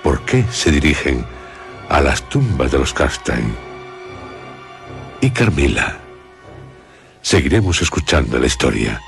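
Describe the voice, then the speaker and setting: Over clear music, an extremely deep-voiced man from Spain narrates radio suspense. He speaks slowly and ominously, leaving theatrical pauses between short phrases. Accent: Spanish from Spain. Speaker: series presenter.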